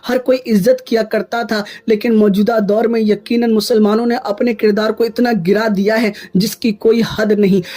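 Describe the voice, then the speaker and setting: A man's voice: high-pitched, darkish tone. A man hosting an online video, giving scripted commentary and religious exhortation in an earnest, admonishing manner, addressing listeners as friends. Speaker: YouTube channel host.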